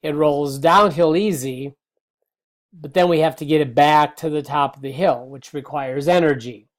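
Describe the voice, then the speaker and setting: Middle-aged man, slightly hoarse, biology lecture, relaxed, lots of ums, webcam in a small room. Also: fairly high pitch, normal speed. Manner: relaxed, explanatory, many fillers and restarts. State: slightly hoarse, clearing throat. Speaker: biology teacher. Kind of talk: recorded slide lecture.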